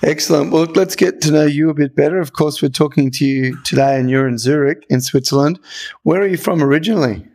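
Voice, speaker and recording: A man's voice: on the high side, quick pace, gravelly, a little mellow. A man from Australia hosting a podcast interview, asking questions and giving brief encouraging replies. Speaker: podcast host.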